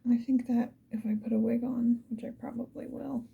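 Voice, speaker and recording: A woman's voice: fairly high pitch, little pitch movement, fairly quick, phone-quality sound. Whispering woman making casual ASMR. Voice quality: breathy whisper. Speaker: ASMR video creator.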